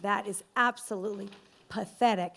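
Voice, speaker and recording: A woman moderating a business panel, forceful and rallying, with punchy declarations. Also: mid-range voice, steady tone, normal speed, vocal fry. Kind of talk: panel discussion.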